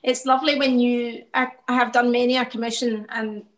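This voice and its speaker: A woman's voice: on the high side, flat delivery, a little fast. A woman painter talking reflectively about her work in a casual interview, often laughing.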